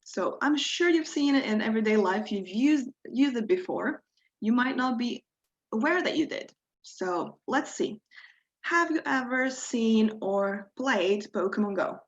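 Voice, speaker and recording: A woman's voice: on the high side, lively intonation, unhurried, muffled recording. Woman teaching a live workshop. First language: Croatian. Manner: friendly, explanatory, encouraging, occasional small grammar slips. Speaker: workshop leader. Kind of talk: live online tutorial.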